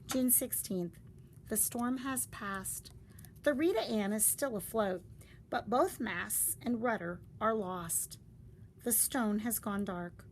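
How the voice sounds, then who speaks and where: A woman reading a story aloud in a deliberate, dramatic storytelling style. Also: medium pitch, rough recording. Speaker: storybook reader. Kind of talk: expressive read-aloud of a story. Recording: faint background noise.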